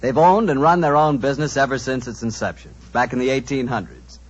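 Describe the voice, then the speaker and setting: An American man announcing and pitching for a sponsor in 1940s radio style, chummy and salesmanlike. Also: fairly even intonation, a little fast. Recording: muffled old-time radio recording.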